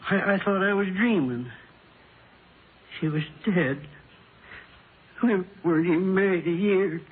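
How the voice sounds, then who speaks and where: An actor playing a grieving, bewildered widower past sixty-five, polite and halting, in a vintage radio drama. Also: male voice, sits high, slightly rough. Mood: stunned grief. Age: over sixty-five. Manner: halting, polite, plain folksy speech. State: shaken with grief. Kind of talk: 1950s radio drama acting. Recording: tinny, noisy vintage radio recording.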